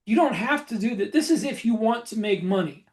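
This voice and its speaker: A man's voice: high-pitched, expressive, fairly quick. A man co-hosting a podcast in casual chat, with a blunt, matter-of-fact way of talking. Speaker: podcast co-host and author.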